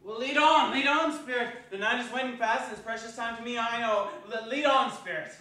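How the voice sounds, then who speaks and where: A man playing a fearful, humbled old miser in a theatrical dramatic reading, halting and emphatic as he pleads. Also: very high-pitched, sharp tone. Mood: urgent. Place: theatre hall stage reading. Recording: echoey hall, distant microphone.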